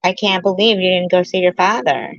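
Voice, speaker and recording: A woman's voice: on the low side, fairly even intonation, brisk, no room sound, lo-fi sound. A woman in her fifties hosting a reaction commentary, blunt and sarcastic, often repeating herself and swearing. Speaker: commentary host.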